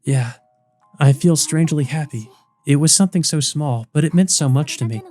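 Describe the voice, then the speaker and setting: A man voicing a dying, tender and weary ancient vampire woman from a visual novel, speaking faintly and haltingly. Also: on the high side, a little mellow, soft background music, voice pushed up, natural voice. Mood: faintly happy. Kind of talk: visual novel character reading.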